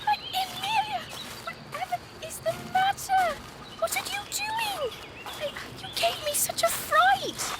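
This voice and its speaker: A woman playing a fretful, tearful mother field mouse with a tiny squeaky voice in an audio drama. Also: takes it slowly, noisy background. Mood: fretful, relieved. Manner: fretful, distressed.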